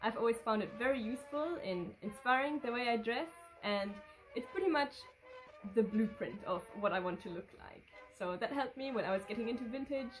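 A woman's voice: a little high, expressive, brisk, soft background music, rough recording. A young woman in her twenties, a native German speaker, reading fan questions aloud and answering off the cuff in a casual vlog from her living room. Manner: chatty, reads questions aloud then rambles off the cuff. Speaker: vintage fashion vlogger. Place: living room with red curtain and sofa.

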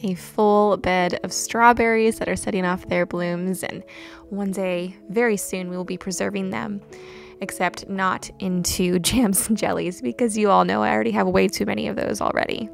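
A young woman narrating her homestead day in a calm voice-over, gentle and unhurried. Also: mid-range voice, vocal fry, faint music behind. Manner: gentle, unhurried, with soft laughs. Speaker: homesteading vlogger. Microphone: voice-over recorded separately.

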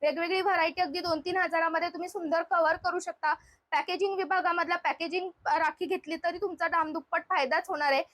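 A woman's voice: very high voice, fairly even intonation, fast talker, fairly bright tone, no room sound. A woman hosting a shopping vlog, showing products to viewers in an unscripted, conversational way. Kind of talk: spontaneous product showcase, presenting to viewers and chatting with the seller.

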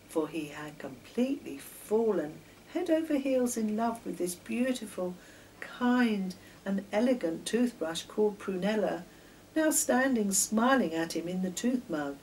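Older woman reading a storybook, lively pitch. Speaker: author reading her own children's stories. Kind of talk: children's storybook narration. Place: home kitchen, indoors. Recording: distant mic, noticeable background noise.

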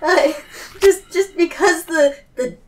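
A woman's voice: very high voice, slow pace. A woman chatting casually as a player in a tabletop game, describing what her character does.

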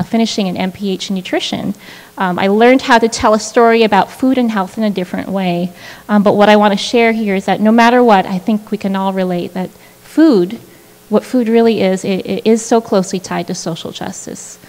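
A woman's voice: medium pitch, a little mellow. Earnest woman at a podium, echoing hall. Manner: earnest, reflective, with many restarts and fillers. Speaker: prize winner giving a speech. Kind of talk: personal acceptance speech. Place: large indoor event hall, at a podium. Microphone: podium microphone on a stand. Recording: long reverb of a large hall.

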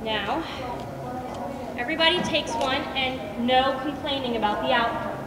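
A woman on stage playing a bossy, reluctant hospital nurse, projecting loudly. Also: sits high, measured pace. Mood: commanding. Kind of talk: amateur stage comedy-drama acting. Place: large theatre stage, indoors. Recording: echoey hall from far off, loud noise.

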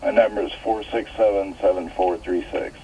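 A man's voice: on the low side, steady tone, moderate pace, warm tone. A man calling a radio show by phone, casually listing items in a plain, folksy way. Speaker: caller. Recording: noisy narrow phone line over radio.

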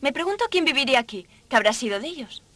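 High-voiced, worried young cartoon heroine. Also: female voice, expressive, fast, over loud music. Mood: curious. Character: worried, caring young Englishwoman, the professor's daughter. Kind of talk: animated cartoon dub acting.

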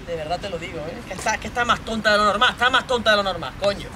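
A woman's voice: mid-range voice, very fast, background noise, voice pushed down, natural voice. A woman voicing a jealous, bullying young boyfriend from a stage monologue, with a Spain accent, domineering and badgering with plenty of swearing. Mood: irritated, contemptuous. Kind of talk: theatrical monologue role-play.

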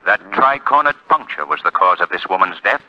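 Menacing male avenger, very deep voice, imperious, formal, vintage radio drama, muffled old recording. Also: sing-song, fairly quick. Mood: commanding. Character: menacing, commanding male invisible crime-fighter. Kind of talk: 1940s radio drama acting.

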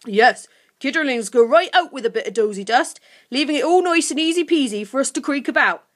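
A dim little storybook monster acted by a woman reader chatters away in folksy broken grammar. He is round-bellied and blabbermouthed, with a raised, lively voice. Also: high-pitched, fairly quick, voice pushed up. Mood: helpful, chatty.

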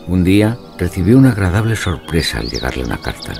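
A man narrating a dramatized mystery story in scripted, measured literary style. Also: low voice, big pitch swings, creaky, a little mellow, faint music behind.

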